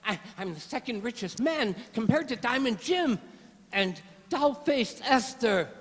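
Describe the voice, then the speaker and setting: A man plays a vain, resentful mob henchman in a radio play. The voice is strikingly high and drawn out, boastful and whining in old-time slang. It echoes through a hall. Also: a bit bright, heavily acted. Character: vain, resentful gangster henchman villain, second in command. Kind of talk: amateur radio-play acting.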